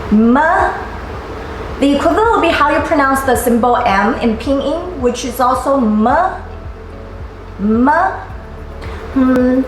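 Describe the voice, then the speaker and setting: A woman, teaching a scripted language lesson at home in an upbeat, playful way and saying sounds slowly and clearly for learners to repeat. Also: high-pitched. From Taiwan. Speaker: Mandarin teacher. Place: living room at home. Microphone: camera at a distance, seated facing it. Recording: very echoey room, faint music.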